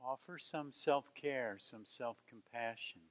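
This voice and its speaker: A man leading a guided meditation as a teacher, speaking gently in unhurried phrases with long pauses between them. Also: medium pitch.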